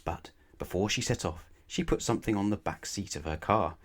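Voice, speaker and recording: A man reading a children's bedtime story aloud in a playful, emphatic way, addressing the young listener. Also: lowish voice. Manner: playful, emphatic, drawn-out key words, rhetorical questions to the listener. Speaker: storybook reader.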